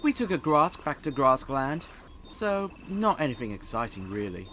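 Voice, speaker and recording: Man as a lively, cheeky teenage schoolboy. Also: medium pitch, moderate pace, low-quality recording. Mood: mock-defensive. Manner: flippant, lively. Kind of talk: audio drama acting.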